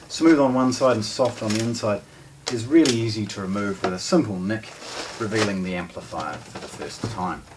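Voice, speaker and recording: A man in his forties with a New Zealand accent giving an informal, explanatory unboxing demonstration, pausing mid-sentence as he goes. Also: mid-range voice. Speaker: product presenter for a hi-fi shop. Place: indoor room with shelves of discs and a wooden table. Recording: distant mic, noticeable background noise.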